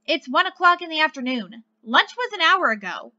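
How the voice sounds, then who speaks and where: A woman voicing a sensible, matter-of-fact girl who leads her friends, brisk and practical, in a story reading. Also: high-pitched, big pitch swings, sharp tone, dry recording, muffled recording, pitched above the performer's own voice, heavily acted. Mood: questioning.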